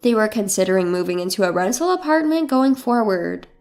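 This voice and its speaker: A woman's voice: medium pitch, expressive, brisk, a little mellow. A woman reading a scripted first-person dramatic story as its narrator. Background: background music.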